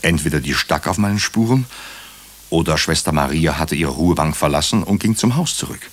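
A deep, husky-voiced thriller hero. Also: male voice, lively intonation, a little fast. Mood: tense, watchful. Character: male doctor narrating his own nervous night-time ordeal in a thriller. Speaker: first-person narrator in a radio crime drama. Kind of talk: dramatic radio play narration.

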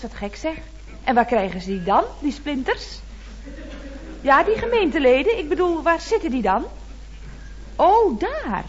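An actress playing a chatty, cheeky office secretary in an old radio comedy, mock-polite and playfully teasing. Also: female voice, a little high, slightly rough, highs cut off, heavily acted. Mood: polite, puzzled. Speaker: actor in a radio comedy serial. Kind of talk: 1970s Dutch radio comedy acting. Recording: noisy, dated radio recording.

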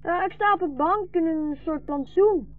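A woman's voice: extremely high pitch, moderate pace. A woman playing a hungry, trusting young refugee boy, childlike and earnest, in a 1960s Dutch radio drama. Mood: earnest, attentive. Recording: dull, muffled 1960s radio recording.

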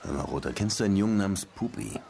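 A man's voice: on the low side, animated, fast talker, vocal fry, dark tone, slight background noise. An actor playing a streetwise, persistent police detective in a radio crime play, casual and probing in his questions. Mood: insistent. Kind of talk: naturalistic German radio play dialogue.

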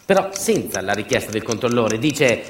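A man's voice: mid-range voice, big pitch swings, moderate pace. A man narrating a theatrical documentary monologue in an urgent, clipped, emphatic way. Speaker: stage narrator and storyteller. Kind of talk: theatrical documentary monologue, acting out quoted dialogue. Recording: roomy, distant mic, faint noise.

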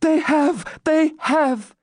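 A man performs a young storybook maiden, brave and fervent, pitched far up. The speech is breathless and exclamatory, in an old-fashioned dramatic manner, with big swoops, recorded very dry. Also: expressive, moderate pace, darkish tone, no room sound. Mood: frantic, exultant.

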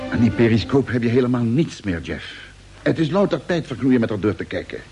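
An actor playing a sober, pessimistic spaceship crewman, measured and gloomy in vintage radio drama style. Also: mid-range voice, sing-song, loud music behind the voice.

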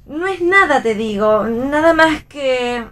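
A high, womanly voice for a stubborn pregnant young wife in a radio play. She is firm and insistent, downplaying her own discomfort. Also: lively intonation, takes it slowly, a bit bright, exaggerated voice. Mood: brushing off pain. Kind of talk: read radio theatre.

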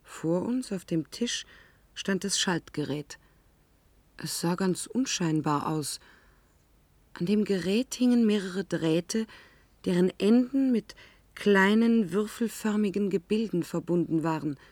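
A first-person narrator in a radio drama, recounting events soberly. Her mid-pitched voice is recorded close and dry, with measured, precise description. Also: darkish tone, no echo. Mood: intent, matter-of-fact. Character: sober female test subject recounting the experiment.

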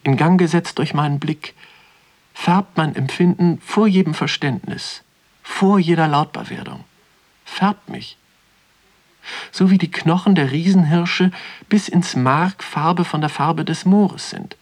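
Pensive male narrator, poetic and measured. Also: fairly high pitch. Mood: brooding, poetic. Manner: contemplative, poetic, measured delivery. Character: pensive male first-person narrator strolling through a natural history museum. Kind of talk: literary radio drama narration.

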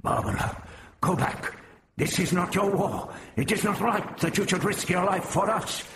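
A man's voice: high-pitched, animated, normal speed, a bit bright, voice pushed up. A man voicing a noble, earnest male alien fighter in a storybook reading, with a grave, formal manner. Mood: earnest, protective.

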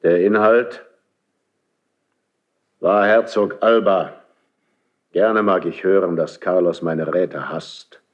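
A man's voice: deep voice, fairly even intonation, slow, pauses often. An actor playing a stern, cold aging king in a classical radio play, commanding and weighty in manner. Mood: grave, suspicious. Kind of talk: classical German radio play stage acting. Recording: dull 1970s radio drama recording.

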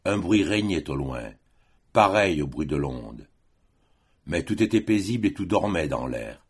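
A man with a very low, husky voice recites verse for an audiobook. He takes it very slowly, in a solemn and declamatory way. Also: lively intonation. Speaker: audiobook reader. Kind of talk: poetry recitation.

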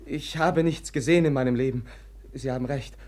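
An actor playing a gentle, naive, sincere prince, speaking earnestly and haltingly in a radio play. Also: male voice, mid-range voice, close to an everyday voice. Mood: earnest, tender. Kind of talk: German radio play acting.

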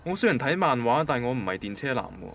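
A man's voice: mid-range voice, sing-song, fast, creaky, fairly bright tone, slight background noise. An actor playing an ordinary, literal-minded young male banker with a Hong Kong Cantonese accent, in a radio drama. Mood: defensive. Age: young adult. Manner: matter-of-fact, pedantic.

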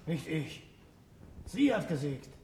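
A man's voice: fairly high pitch, very animated, takes it slowly, a little mellow. A man playing a stubborn scholar and father in a radio drama, speaking curtly. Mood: quiet, concerned. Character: stubborn scholar and father, absorbed in his work. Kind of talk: German radio drama acting. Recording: echoey, noisy radio play recording.

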